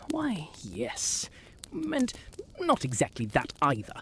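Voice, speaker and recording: A woman's voice: deep voice, huge pitch swings, takes it slowly, background noise, heavily acted. A woman playing a blustering, easily amused male police prefect in an audio drama. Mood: puzzled.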